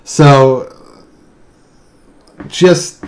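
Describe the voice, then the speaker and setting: A man with a deadpan delivery speaks casually in a solo monologue, often repeating words and restarting his sentences. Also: mid-range voice, extremely slow, slight background noise. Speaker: online video commentator. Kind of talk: casual monologue explaining own humour.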